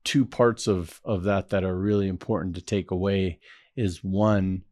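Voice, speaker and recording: Male interview host: very low voice, dry close recording, calm and measured, affirming the guest. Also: no echo. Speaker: podcast host. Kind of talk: interview conversation.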